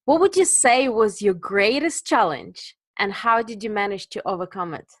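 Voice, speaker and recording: A woman's voice: mid-range voice, expressive, normal speed, no echo, studio quality. A woman hosting a conversational business podcast, putting questions to a guest. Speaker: podcast host and interviewer.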